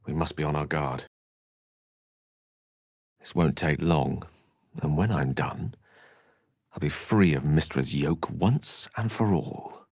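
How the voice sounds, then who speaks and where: A man's voice: sits low, dry recording, studio quality. An actor playing an erudite, witty and self-assured wizard in a video game, eloquent and theatrical in his wordy delivery. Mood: measured, scholarly, then intense, determined. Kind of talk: video game character acting.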